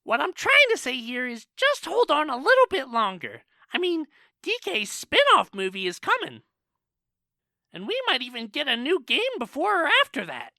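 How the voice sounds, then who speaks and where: A woman's voice: sits high, vocal fry, no echo, exaggerated voice. A woman voicing a cheeky, opinionated male cartoon monkey in a fan audio drama, chatty and sassy. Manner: animated, sassy. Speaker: co-host of a fan question show. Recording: dry voice over background music.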